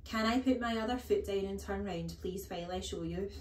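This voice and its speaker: A woman's voice: medium pitch, fairly quick, echoey room, natural voice. Woman doing a piping, plucky little schoolboy. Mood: eager. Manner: piping, eager. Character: small brave eager schoolboy, cheeky and polite.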